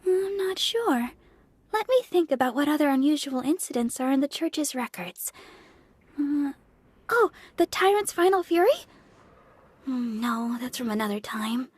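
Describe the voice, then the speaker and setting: A woman's voice: sits high, animated, a little slow, dry recording. A woman playing a sweet, earnest young church member in a video game, polite, bubbly and helpful. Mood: thoughtful. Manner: polite, bubbly, thinks aloud. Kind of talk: video game character acting.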